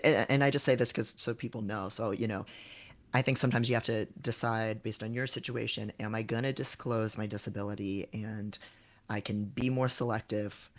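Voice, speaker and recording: A man with a fairly low voice hosts a relaxed livestream. He gives frank advice conversationally, feeling his way through sentences as he goes. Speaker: livestream host giving job advice. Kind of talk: casual livestream chat, advising.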